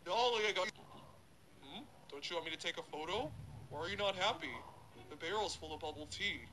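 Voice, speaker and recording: A man voicing an animated character in short comic scenes. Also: high-pitched, a little fast, a little hiss or hum, rough recording. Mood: earnest. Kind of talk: character voice acting.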